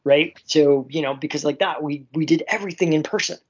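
A man's voice: on the high side, fairly quick, breathy edge, muffled recording. A middle-aged Canadian man chatting casually and effusively as an interview guest, rambling with frequent filler phrases. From small town near Vancouver, Canada.

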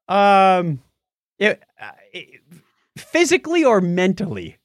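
A man's voice: high voice, wildly swooping pitch, takes it slowly, dry recording, studio quality. A man co-hosting a podcast, talking casually and playfully as he tells stories and reads out listener comments. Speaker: podcast co-host.

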